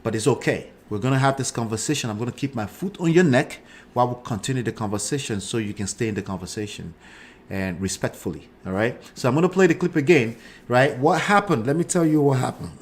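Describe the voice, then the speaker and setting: A man's voice: mid-range voice, quick pace. A man in his forties with a Nigerian accent hosts a live show, giving casual commentary and often checking in with short tags like "right" and "okay". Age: 40s.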